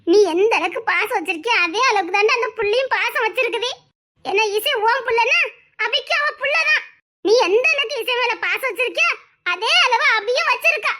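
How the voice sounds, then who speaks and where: A woman acting as a firm, comforting woman in a family drama. She scolds and reassures in turn, with a voice pitched very high. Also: sharp tone, full character voice. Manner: scolding yet reassuring, calls him "daa". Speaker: relative consoling a grieving man.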